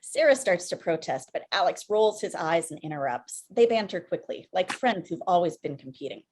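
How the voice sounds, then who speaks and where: Lowish-pitched woman reading stage directions. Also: animated, moderate pace, breathy edge, low-quality recording. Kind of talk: scripted narration at a table read. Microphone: video call.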